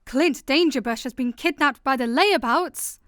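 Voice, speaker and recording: A woman playing a brisk, deadpan newspaper worker in a comic sci-fi radio play. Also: high-pitched, no echo, studio quality. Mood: matter-of-fact.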